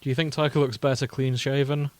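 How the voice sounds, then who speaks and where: A man's voice: medium pitch, little pitch movement, fast, no room sound. A British man co-hosting a podcast, chatting casually about fan fiction. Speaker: podcast co-host.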